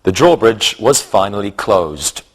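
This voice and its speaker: A man teaching a scripted English lesson for beginners in a deliberate, instructional way. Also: on the low side, very animated, husky, faint music behind.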